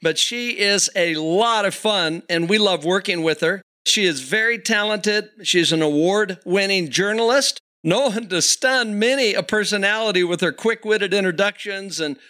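Bright, high-voiced enthusiastic male host. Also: moderate pace. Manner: enthusiastic, warm introductions. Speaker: podcast host. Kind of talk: podcast interview hosting.

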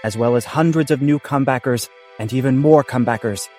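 A man's voice: mid-range voice, a little fast, a little mellow, faint music behind, lightly acted. A man playing a pompous small-town amateur thespian, declaiming in a comedy audio drama. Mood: proud, grand. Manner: mock-archaic, declamatory.

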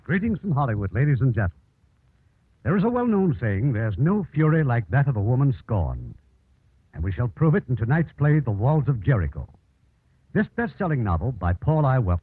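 A man's voice: mid-range voice, very animated, brisk, breathy edge, no room sound. A man hosting and narrating a 1950s radio drama in scripted style. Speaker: host and narrator. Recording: narrow old radio broadcast with music.

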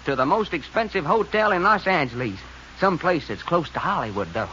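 An actor playing a brash, folksy Southern adventurer with an argumentative drawl in an old-time radio serial. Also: male voice, on the high side, animated, a little fast, close to an everyday voice. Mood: brash, excited. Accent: American Southern. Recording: muffled vintage radio recording, faint noise.

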